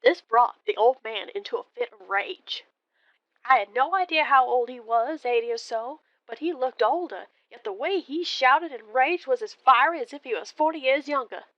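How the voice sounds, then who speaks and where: A woman narrating a first-person ghost story in a deliberate, expressive, dramatic way. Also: high-pitched, no room sound, highs cut off. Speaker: storyteller calling in to a horror radio show.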